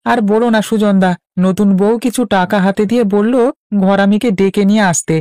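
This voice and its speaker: An actor voicing a naive, dithering young village husband who is anxious to please in a cartoon. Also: male voice, sits high, fairly even intonation, normal speed, darkish tone, clear, resonant tone, dry recording, studio quality, natural voice. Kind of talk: Bengali cartoon voice acting.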